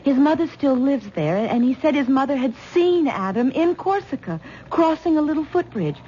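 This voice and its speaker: An actress playing an anxious young wife who stammers nervously in a vintage radio drama. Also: female voice, sits high, lively intonation, normal speed, warm tone. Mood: tense. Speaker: troubled wife. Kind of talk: 1970s radio drama acting. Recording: dull old radio broadcast with faint hiss.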